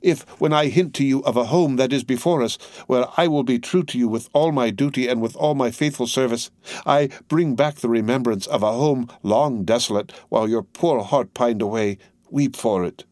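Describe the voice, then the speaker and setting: A man reading aloud, voicing a young woman who gently and earnestly pleads with her father. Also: mid-range voice, moderate pace, no echo, studio quality, close to an everyday voice. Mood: pleading. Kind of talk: audiobook character voice.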